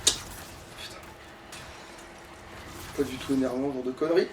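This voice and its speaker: A man's voice: medium pitch, steady tone, a little slow. A man chatting casually as he plays a video game, slangy and prone to grumbling. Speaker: let's play player and commentator. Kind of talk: casual gaming commentary chat. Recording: distant mic, faint background noise.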